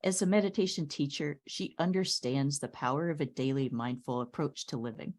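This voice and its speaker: Low-voiced woman podcast host. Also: fairly quick. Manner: warm, admiring, enthusiastic. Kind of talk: interview host.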